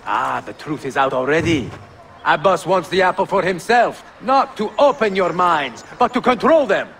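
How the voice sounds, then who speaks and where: A man's voice: sits high, animated, a bit bright, slight background noise. An actor playing a grave, proud aging male assassin leader in a video game cutscene. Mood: defiant. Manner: grave, measured, flaring into anger. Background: game score music.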